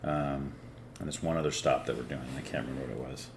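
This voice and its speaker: A man hosting a podcast in casual chat, laid-back and easily excited, with lots of casual slang. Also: extremely low pitch, quick pace, noisy background. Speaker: podcast host.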